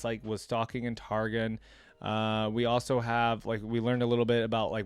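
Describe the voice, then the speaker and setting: A man hosting a reaction video, reading aloud and adding informal asides as he thinks out loud. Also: mid-range voice, smooth, no echo. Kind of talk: casual commentary, reading wiki text aloud with asides.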